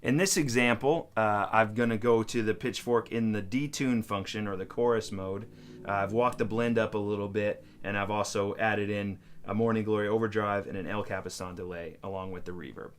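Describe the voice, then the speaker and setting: Low-voiced man giving a casual gear demo. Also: fairly even intonation, brisk. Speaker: guitar pedal demonstrator.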